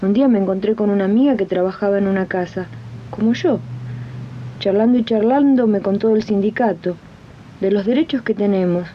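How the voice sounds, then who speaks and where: A woman's voice: medium pitch, fairly quick, close to an everyday voice. Argentine woman, actress playing fed-up maid and union organizer, earnest plain-spoken storytelling, 1980s radio drama, muffled archive tape with faint hiss. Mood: weary, indignant. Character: fed-up, exploited woman domestic worker turned union organizer. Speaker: radio drama lead and narrator.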